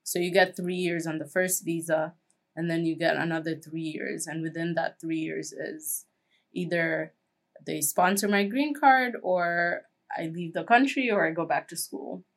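A woman's voice: lowish voice, fairly even intonation, a little slow. A woman in her twenties from Kenya, a guest chatting casually in an interview with lots of "like" and "um". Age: 20s. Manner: chatty, frequent "like" and "um" fillers.

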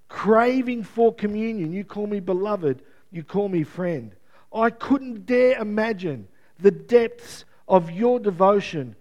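Man, preacher, high voice, strained and fading voice, earnest, conversational, chuckles and restarts. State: losing his voice. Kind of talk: sermon.

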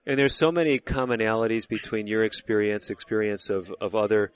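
A man's voice: lowish voice, fairly even intonation, a little fast, clean tone. A man hosting a radio health show, interviewing a guest in a booth at a conference hall, chatty and given to small restarts. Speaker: radio show host and physician. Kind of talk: conversational interview.

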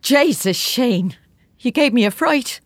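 A woman's voice: fairly high pitch, animated, slow, heavily acted. A middle-aged mother, recently widowed and bitter. She talks in a curt, sarcastic, defensive way, in naturalistic radio drama style. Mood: defensive, startled. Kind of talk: naturalistic audio drama acting.

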